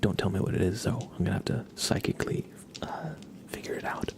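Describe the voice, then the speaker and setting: Male ASMR streamer, whispery with heavy vocal fry, soft-spoken and unhurried, talking casually close to the mic. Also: low voice, fairly even intonation. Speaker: ASMR livestream host. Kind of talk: ASMR, casual chat to live viewers.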